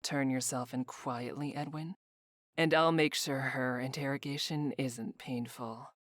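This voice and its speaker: A man's voice: medium pitch, fairly even intonation, measured pace, fairly bright tone, dry recording, clean studio sound, lightly acted. A man voicing a suspicious, coldly threatening interrogator in a fantasy visual novel. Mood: cold menace.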